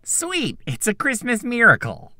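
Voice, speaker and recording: A woman playing an exasperated, sarcastic adult technician in a video game fan dub, theatrical and expressive. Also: medium pitch, a little slow, no room sound, clean studio sound, full character voice. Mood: wry.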